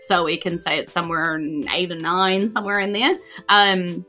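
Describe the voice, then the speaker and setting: A woman's voice: fairly low pitch, steady tone, moderate pace, sharp tone. A woman narrating a tabletop game as game master in a casual, giggly, conversational way. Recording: faint music behind the voice. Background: faint background music.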